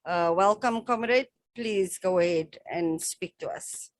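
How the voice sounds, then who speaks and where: A woman's voice: mid-range voice, a little slow. A woman moderating an activist forum, speaking spontaneously in an appreciative, emphatic, comradely manner as she thanks and introduces speakers. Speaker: session moderator.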